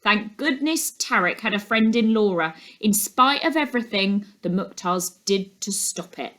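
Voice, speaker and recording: A woman's voice: mid-range voice, animated, unhurried, a bit bright. A woman voicing a gossipy, opinionated neighbour in a storybook, confiding and emphatic. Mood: indignant, confiding. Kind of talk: storybook character monologue.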